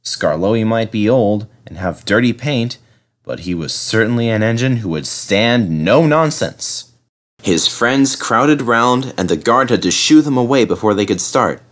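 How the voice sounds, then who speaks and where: A man reading a children's story aloud as an expressive narrator. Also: lowish voice, measured pace. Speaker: storybook reader.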